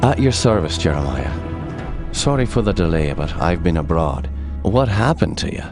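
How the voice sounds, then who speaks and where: An actor playing a world-weary, wry Irish war veteran and occult investigator in a video game cutscene, with a brooding, dry storytelling manner. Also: male voice, low voice, sing-song, moderate pace. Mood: concerned, loyal. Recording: loud background music. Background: loud game soundtrack music.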